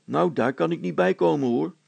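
A man's voice: fairly high pitch, moderate pace, vocal fry, a little mellow, husky, exaggerated voice. A man voicing an eager, simple, respectful male helper in a story, plain in his way of talking. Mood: puzzled.

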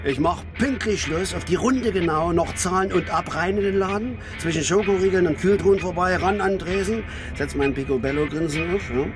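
An actor playing a restless, rough but tender working-class father in a radio drama, talking in a halting, colloquial way and repeating himself. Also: high voice, over music, natural voice. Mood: wry, excited. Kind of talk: naturalistic radio drama dialogue and narration.

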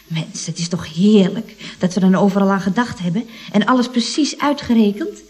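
A woman's voice: medium pitch, lively intonation, normal speed, darkish tone, natural voice. A woman acting a chatty, breezily teasing secretary at a building firm in a radio comedy, with a gushing, mock-cosy manner. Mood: breezy teasing.

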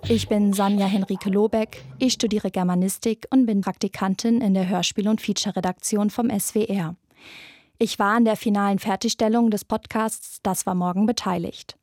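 A woman's voice: medium pitch, fairly even intonation, moderate pace, darkish tone. A young woman in her twenties presenting a scripted podcast narration. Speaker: presenter, radio drama intern.

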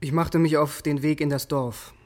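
Man playing tense soldier telling what he did, a bit higher voice, short plain sentences, radio play. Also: steady tone, normal speed, natural voice. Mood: tense, factual. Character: male soldier giving a tense account of revenge. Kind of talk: courtroom radio drama testimony.

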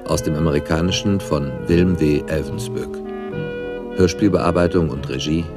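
A man's voice: very deep voice, fairly even intonation, moderate pace. A man announcing radio drama credits in a scripted style. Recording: loud brass music under the voice. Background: brass instrument music.

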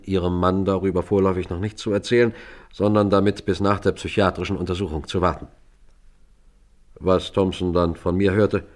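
A dogged police sergeant played by a man with a low voice recounts his case. He speaks soberly and deliberately, like the brooding narrator of a radio crime play. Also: lightly acted. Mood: sober, matter-of-fact. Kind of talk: German radio crime drama, first-person narration.

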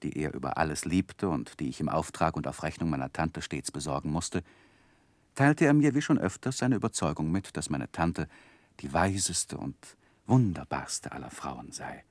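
A man acting as a reflective narrator recalling his boyhood in a radio play, with measured, expressive literary storytelling. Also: a bass voice, no room sound. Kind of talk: scripted narration, 1960s German radio play.

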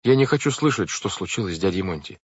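A man's voice: low voice, animated, fast talker, slightly rough, no echo. A man voicing an anxious, earnest young girl from a storybook in an urgent, worried manner. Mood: distressed. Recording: dull, lo-fi, dry recording.

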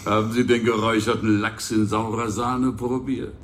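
Man playing smug courteous colonial governor, radio drama, lowish voice, formal dry delivery, echoing room with background ambience. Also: little pitch movement, moderate pace, slight background noise, natural voice. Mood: hospitable. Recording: roomy echo, clear background ambience.

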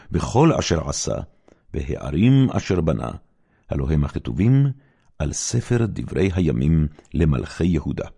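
A man with an Israeli accent reads scripture as a narrator, in a solemn, formal and measured style with short, deliberate phrases. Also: low voice, gravelly, dark tone, breathy edge. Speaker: Bible narrator.

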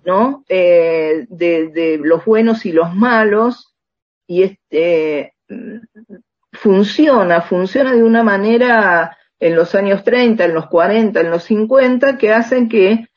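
A woman with an Argentine accent gives a reflective interview. Her voice is husky and sits at a middling pitch, and she keeps checking in with small tag questions. The audio is dull and narrow. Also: takes it slowly. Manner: checks in with rhetorical tags. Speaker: interviewed author and radio drama researcher.

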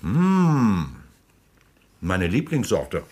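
A smug, laughing prosecutor. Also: male voice, lowish voice, wildly swooping pitch, extremely slow, a little mellow, exaggerated voice. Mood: amused. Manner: ironic, laughs often, smooth and self-assured. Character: suave, amused male prosecutor and politician. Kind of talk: German radio play acting.

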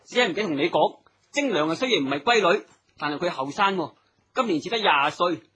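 High, husky, fawning con man. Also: animated, brisk, sharp tone, full character voice. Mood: coaxing. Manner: coaxing, ingratiating. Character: sly male accomplice, fawning smooth-talking con man. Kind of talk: Cantonese radio drama acting.

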